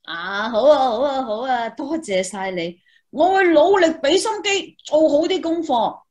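A woman plays a devout, eager student reporter in a Christmas radio drama. Her voice sits somewhat high, with earnest exclamations and a Hong Kong Cantonese accent. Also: expressive, measured pace, darkish tone. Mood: grateful, eager. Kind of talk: Christmas radio play dialogue.

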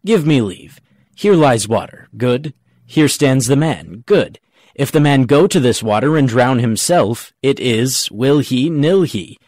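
A man's voice: medium pitch, lively intonation, a little slow, darkish tone, dry recording, clean studio sound. A man playing a cocky rustic gravedigger in a Shakespeare audiobook, arguing in a pedantic, jokey way. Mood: pedantic, argumentative. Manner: pedantic, jokey mock-logical argument. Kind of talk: dramatic audiobook reading of Shakespeare.

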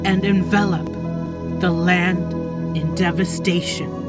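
A solemn young goat prince voiced by a woman narrates prophecy for a game fandub. The delivery is grave and extremely slow, over clear new-age music. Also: lowish voice, steady tone. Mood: grave, foreboding. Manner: grave, measured, deliberate.

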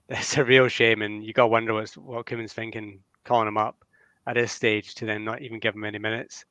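A young man wearing a headset on a video call, arguing his football points in a lively, reasoned way. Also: lowish voice, fairly quick, dry recording. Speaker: co-host.

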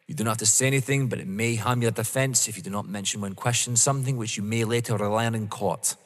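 An actor playing a methodical, smugly polite police inspector in an audio drama, formal and insinuating. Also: male voice, on the low side, fairly even intonation, quick pace, natural voice. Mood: stern. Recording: long hall-like reverb.

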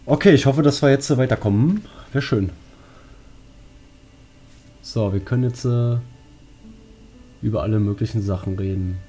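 A man's voice: medium pitch, normal speed, long pauses, warm tone. A man giving casual gaming commentary, thinking aloud colloquially. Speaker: let's play host. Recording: game music behind.

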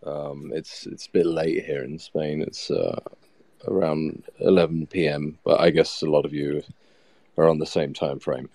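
A man chatting casually as a guest on an audio call, relaxed and hesitant, with ums and light laughter. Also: extremely low pitch, unhurried, no room sound. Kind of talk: casual chat.

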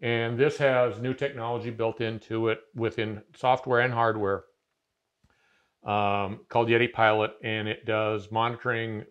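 A man's voice: on the low side, flat delivery, measured pace, smooth, muffled recording. A man in his fifties explaining a CNC machine in casual conversation, talking in a loose, rambling way with many fillers and restarts, seated in a large garage workshop.

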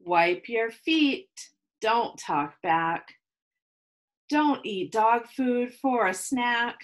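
A woman in her thirties reading a children's picture book aloud in slow, sing-song rhymes, recorded on a webcam at home. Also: a little high, expressive. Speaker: children's read-aloud host. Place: home room with plants, indoors.

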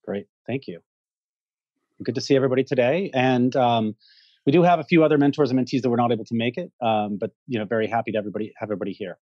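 A man's voice: mid-range voice, rapid-fire, dry recording. Guy in his 40s hosting a live stream over a video call, dry muffled sound. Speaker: moderator. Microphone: video call with earbuds.

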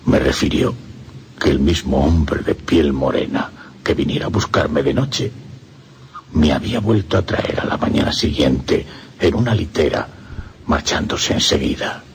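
An actor playing an anguished, lovestruck priest in a radio play, speaking slowly and breathily with impassioned drama and a Castilian Spanish accent. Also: male voice, very deep voice, animated, slight background noise, full character voice. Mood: weary, troubled. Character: anguished lovestruck priest recounting his haunted romance.

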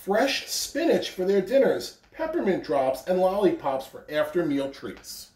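A man's voice: sits high, big pitch swings, unhurried, a little mellow. An American man in his thirties giving morning announcements and reading a storybook aloud to children. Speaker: school principal or staff announcer and story reader. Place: carpeted living room at home. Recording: roomy, distant phone camera recording.

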